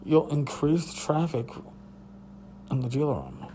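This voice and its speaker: Tired, hoarse man hosting a podcast. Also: on the high side, very animated, a little slow, dark tone, slight background noise. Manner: rambling, profane, restarts. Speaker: podcast host. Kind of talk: casual solo podcast. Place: hotel room.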